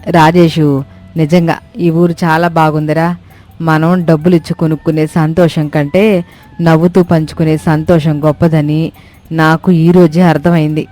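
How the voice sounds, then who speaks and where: A woman's voice: sits low, level tone, unhurried, dark tone. A woman playing a reformed, bossy village mother-in-law in a moral story, giving folksy, emphatic, good-humoured advice. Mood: contented. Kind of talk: scripted moral story acting. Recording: music behind the voice.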